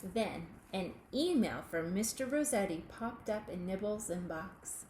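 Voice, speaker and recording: A woman reading a children's picture book aloud, in a deliberate, expressive way aimed at young listeners. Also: medium pitch. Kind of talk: children's storybook read-aloud. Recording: echoey room with faint background noise.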